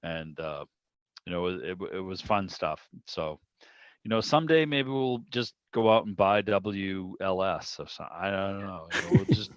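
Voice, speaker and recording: A man with a fairly low voice chats casually, wandering from one anecdote to the next. The sound is somewhat dull, like a video call. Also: expressive, normal speed, smooth, no room sound. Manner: rambling, anecdotal storytelling. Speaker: radio show producer and interviewer. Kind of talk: casual hobby club chat.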